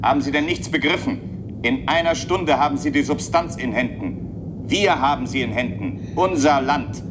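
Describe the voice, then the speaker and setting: A man's voice: mid-range voice, moderate pace, noisy background, natural voice. An actor playing a cold, authoritative chairman who speaks formally and gives orders in a German radio play. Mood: stern. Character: cold, commanding chairman giving orders over an intercom.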